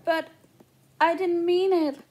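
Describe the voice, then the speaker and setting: Young woman as pleading, defiant teen heroine for an amateur fandub; high voice, slow delivery. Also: little pitch movement, a little mellow. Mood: pleading, upset. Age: young adult. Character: pleading, defiant teenage girl searching for her brother. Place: small living room, indoors.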